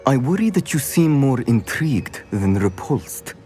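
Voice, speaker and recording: Game wizard professor: medium pitch, stately, wary, careful wording over soft music. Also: male voice, very animated. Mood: wary, stern. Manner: formal, deliberate, cautious. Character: wary, dignified wizard professor guarding secret memories. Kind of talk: dramatic game cutscene acting.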